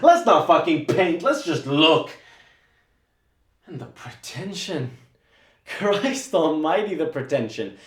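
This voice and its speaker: A man's voice: on the high side, big pitch swings, echoey room. A man playing a furious sarcastic young assistant. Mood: sarcastic fury. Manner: sarcastic, profane, rapid tirades. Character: angry, sarcastic young male painter's assistant. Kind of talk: theatrical monologue.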